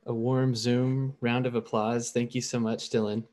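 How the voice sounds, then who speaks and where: A man's voice: mid-range voice, little pitch movement, unhurried, darkish tone. A middle-aged man moderating a lecture Q&A over a video call, easygoing, speaking through earbuds. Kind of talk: hosting a lecture Q&A.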